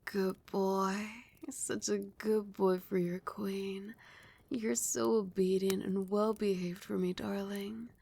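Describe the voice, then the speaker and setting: A woman voicing a doting, commanding vampire queen in an intimate ASMR roleplay, with formal, coaxing speech and a breathy voice that often drops into whispers. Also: medium pitch, flat delivery, dark tone, a little hiss or hum. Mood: pleased, tender. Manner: slow, formal, coaxing pet names.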